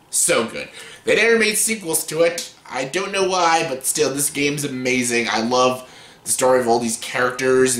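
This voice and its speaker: A man in his late twenties with a scratchy yet bright, forceful voice, talking loosely and profanely through a casual countdown. His delivery is rambling and full of fillers. The room around him gives a noticeable echo. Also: medium pitch. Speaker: vlogger. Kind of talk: casual top-ten countdown. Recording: roomy echo.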